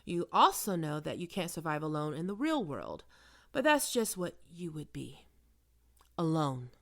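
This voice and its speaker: Woman acting a measured, manipulative female psychiatrist. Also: deep voice, big pitch swings, full character voice. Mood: coercive, menacing. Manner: measured clinical questioning with deliberate pauses. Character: female psychiatrist, probing, smoothly manipulative and coercive. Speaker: voice actor performing a roleplay. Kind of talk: ASMR roleplay acting.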